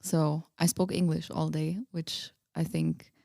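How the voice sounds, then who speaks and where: A woman speaks in a low register with plenty of creak. Her delivery is informal and a bit hesitant, like a musician chatting in a casual interview. The recording is close and dry. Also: flat delivery, measured pace, dark tone, no room sound. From Austria. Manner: laughs easily, hesitant and informal.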